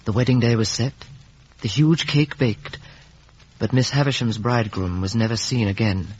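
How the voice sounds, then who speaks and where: Guy as polite earnest young gent telling his story, old radio play, bit husky, muffled sound. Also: mid-range voice, a little mellow, close to an everyday voice. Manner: measured, literary, earnest. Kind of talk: 1950s radio drama acting and narration. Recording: muffled vintage radio recording.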